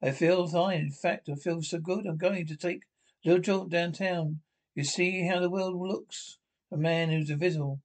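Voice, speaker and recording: A man's voice: sits high, fairly even intonation, normal speed. A man playing an eager, scornful albino inventor in a radio play, insistent and domineering. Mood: confident. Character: eager, scornful albino inventor plotting with his invisibility serum. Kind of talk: radio play script read aloud.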